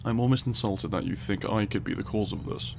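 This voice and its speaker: Guy playing a grumpy know-it-all scientist, lowish gravelly voice, dry and condescending, some background noise. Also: fairly quick. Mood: irritated, condescending. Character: grumpy, dry male lab scientist, exasperated and superior. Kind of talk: ASMR audio roleplay acting.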